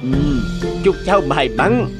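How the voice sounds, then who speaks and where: A man playing a gentle, encouraging old village elder in a fairy-tale cartoon dub. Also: on the high side, expressive, slow pace, loud music behind the voice. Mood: kindly.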